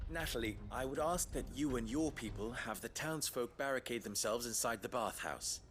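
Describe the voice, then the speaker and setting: A man playing a composed, authoritative town leader in a video game, speaking in formal, measured phrases. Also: medium pitch, a bit bright, a little hiss or hum, close to an everyday voice. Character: composed adult male town leader rallying defenders, authoritative. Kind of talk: dramatic video game dialogue.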